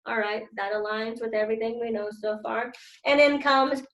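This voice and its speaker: A woman with a slightly high voice reads aloud and comments as a teacher would for children. She is expressive and puts weight on key words. The sound is distinctly roomy. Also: normal speed.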